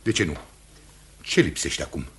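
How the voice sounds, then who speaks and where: An actor playing a stern, authoritative presiding judge in a radio drama, commanding, formal and probing. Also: male voice, a bass voice, expressive. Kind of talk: theatrical radio drama acting. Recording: noisy old radio drama recording.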